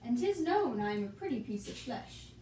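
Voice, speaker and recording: A woman's voice: fairly high pitch, very animated. A young woman giving an amateur, theatrical reading of Shakespearean dialogue for a puppet show. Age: young adult. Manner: theatrical, reciting archaic dialogue slowly. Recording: echoey room, distant mic, hissy noise.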